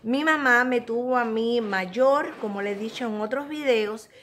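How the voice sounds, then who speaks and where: High-voiced middle-aged Cuban woman. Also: a little slow, a bit bright, slight background noise. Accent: Cuban Spanish. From Cuba. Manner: earnest, addresses viewers directly, motherly advice. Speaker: vlogger. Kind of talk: casual confessional chat to camera. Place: small living room at home. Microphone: phone at arm's length.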